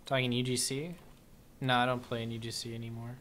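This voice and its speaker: Young male streamer, casual gaming chat, mid pitch, faint background hiss. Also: fairly quick. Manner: relaxed, answers chat questions between plays.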